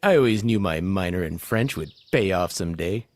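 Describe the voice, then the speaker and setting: Cocky, chatty squad leader played by a man for a comedy audio play; lowish, dry close sound, smug briefing delivery. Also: animated, fairly quick, no echo. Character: cocky, chatty male zombie extraction team leader.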